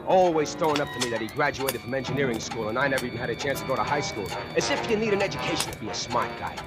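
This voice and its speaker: A man's voice: medium pitch, lively intonation, racing, close to an everyday voice. An actor playing a lazy, boastful factory worker who whines, brags and holds a grudge, in a 1940s radio drama with tough-guy slang. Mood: bitter. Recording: old radio broadcast with music.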